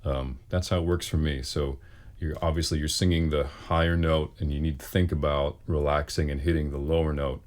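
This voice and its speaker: A man with a very deep bass voice, a singer casually answering questions with wry, self-deprecating humor. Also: little pitch movement, fairly quick, darkish tone. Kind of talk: casual Q and A talk.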